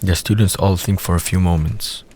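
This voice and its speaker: A man's voice: low-pitched, fairly even intonation, moderate pace, warm tone, slight background noise. A man reading scripted scene narration for an audio drama.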